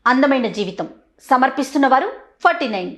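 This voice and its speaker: A woman's voice: high voice, very animated, fast talker. A woman hosting a counselling talk show, on a noisy track with clicks. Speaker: show host.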